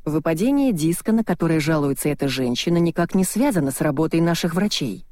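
A reader voicing a cynical, condescending male hospital lawyer in an audiobook, brisk and bossy. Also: medium pitch, lively intonation, darkish tone, slight background noise, natural voice. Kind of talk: audiobook dialogue reading.

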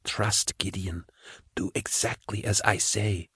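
A man voicing a soft-spoken young woman who talks in hushed, reassuring tones. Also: fairly low pitch, fairly even intonation, slow pace, slightly rough, no echo, clean studio sound, heavily acted.

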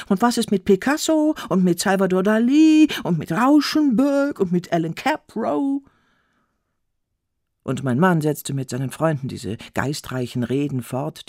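A man's voice: mid-range voice, vocal fry, a little mellow, husky, no echo, studio quality. A man voicing a scornful, wronged wife in a theatrical, sardonic first-person literary reading. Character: scornful, wronged wife recounting her humiliations. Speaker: actor reading a literary text.

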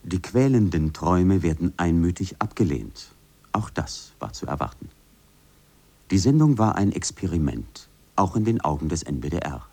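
A man's voice: deep voice, big pitch swings, moderate pace, dark tone, husky. A man giving a formal scripted reading of quoted texts.

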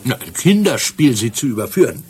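An actor in a German radio play playing a shrewd, cheeky yet deferential police sergeant with a wry, respectful manner. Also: male voice, medium pitch, big pitch swings, a little fast, a little mellow, close to an everyday voice. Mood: teasing.

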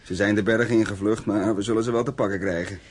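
An actor playing a hard-bitten army officer in a Dutch radio play, rough-talking and boastful. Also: male voice, on the low side, fairly even intonation, quick pace. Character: gruff, hard-bitten soldier boasting of battle. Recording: noisy old radio play recording.

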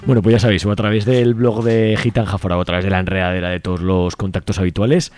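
A man's voice: low-pitched, flat delivery, fast, a little mellow, faint music behind. A man with an accent from Spain hosting a radio show in a casual, colloquial and jokey way. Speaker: radio host. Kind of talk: casual chat.

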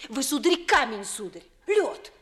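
A pert young maidservant speaks in a high voice at an unhurried pace. She is folksy and theatrical, timid yet ready to scold, as in 1950s radio theatre. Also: big pitch swings, fairly bright tone, heavily acted. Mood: pert, teasing. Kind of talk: 1950s Russian classical radio theatre acting.

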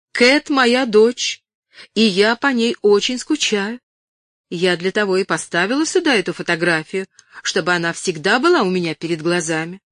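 A woman's voice: medium pitch, a bit bright, no echo, close to an everyday voice. A stern, weary actress from a novel, read by a woman narrator, holds back her irritation. Her delivery is cold and reproachful, recorded close and dry.

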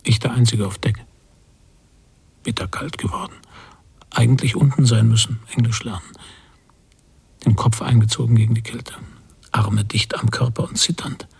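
A man playing a melancholy post-war emigrant father in a radio drama, brooding aloud in fragments with a husky, gravelly voice. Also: medium pitch, steady tone, darkish tone. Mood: melancholy, forlorn. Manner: slow-building, brooding reflection in verbless fragments. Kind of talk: literary radio drama monologue.

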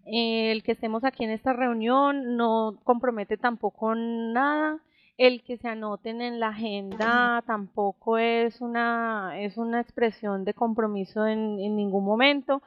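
A woman running an online group meeting, speaking in a relaxed, reassuring way with many fillers. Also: on the high side, measured pace, clean tone, dry recording. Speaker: meeting organizer. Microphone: computer mic on a voice call. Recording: dull lo-fi voice call audio.

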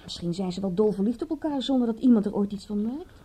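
An actress playing a flippant, defensive young woman in a radio drama, with a soft, husky voice. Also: medium pitch, animated, fast. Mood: teasing, evasive.

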